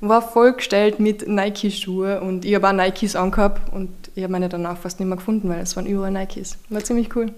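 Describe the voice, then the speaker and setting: Girl in her 20s hosting a podcast, chatty, laughs a lot, mid pitch. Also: fairly even intonation, fairly quick, hardly any pauses, darkish tone. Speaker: podcast host. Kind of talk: casual interview chat.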